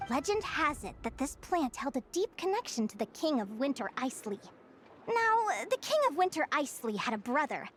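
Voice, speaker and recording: A woman voicing a cheerful young naturalist in a video game, lecturing to children in an encouraging way. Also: sits high. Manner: bright, encouraging, teacherly.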